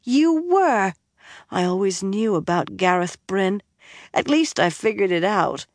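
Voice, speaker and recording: A woman voicing a tipsy, proud young noblewoman who turns tearful, in a high, pleading voice. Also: expressive, takes it slowly, dry recording, clean studio sound. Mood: accusing.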